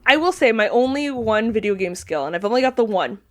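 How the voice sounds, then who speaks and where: Woman, slightly high voice, playful storyteller in a relaxed group chat. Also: fairly quick. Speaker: podcast voice actor.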